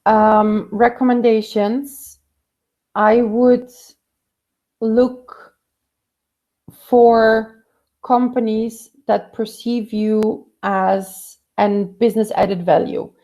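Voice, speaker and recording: A woman's voice: on the high side, level tone, drawn-out words, mellow. A woman career coach answering viewer questions in a conversational way, with many fillers and restarts. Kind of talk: live Q&A career advice.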